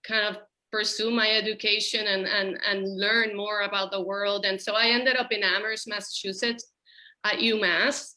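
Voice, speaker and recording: A woman with a bright, forward voice. She speaks as a guest, reflecting on personal experience in thoughtful, halting phrases. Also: mid-range voice, flat delivery, low-quality recording. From Puerto Rico. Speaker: panel guest, school counselor.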